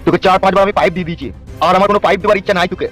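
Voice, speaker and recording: Man acting high-voiced, vengeful wronged husband. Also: fairly even intonation, very fast, fairly bright tone. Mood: scornful, defiant. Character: vengeful, foul-mouthed, hard-hearted wronged husband in a cartoon. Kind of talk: amateur Bengali cartoon melodrama. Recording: music under the voice.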